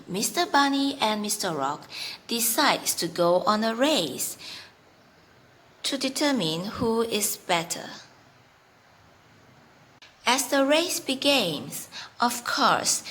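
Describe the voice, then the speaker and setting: A woman reading aloud and teaching as a children's storyteller, phrasing carefully and deliberately in short chunks. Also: mid-range voice, very animated, fairly bright tone.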